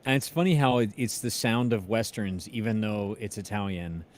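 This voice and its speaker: A man co-hosting a music show, telling stories in a casual, animated, halting and slangy way. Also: lowish voice. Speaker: co-host of a music interview show. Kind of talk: casual chat, enthusiastic storytelling.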